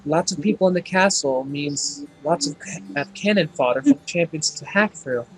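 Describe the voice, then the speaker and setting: A woman's voice: low voice, animated, normal speed, faint music behind, low-quality recording. A woman voicing an overfamiliar, friendly demon maid in an amateur game fandub. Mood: earnest, calm. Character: friendly, overfamiliar female demon castle maid, loyal and chirpy. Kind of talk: amateur visual novel fandub acting.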